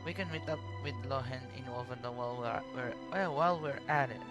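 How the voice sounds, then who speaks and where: A man voicing a calm young traveler hero who speaks briefly and steadily, in a video game. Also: mid-range voice, fairly quick, natural voice. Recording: game music loud underneath.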